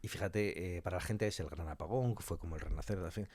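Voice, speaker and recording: A man's voice: deep voice, fast, a little mellow, dry recording. A man with an accent from Spain, chatting casually as an interview guest in an effusive, colloquial way, repeating things for emphasis. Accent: Spanish from Spain. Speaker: interview guest, audio fiction scriptwriter.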